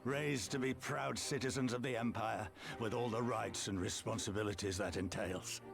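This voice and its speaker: A despairing resistance officer in a fantasy game. The voice is low, weary and bitter, speaking with wounded passion over clearly audible music. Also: male voice, steady tone, moderate pace. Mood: grave sorrow. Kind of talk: dramatic video game voice acting.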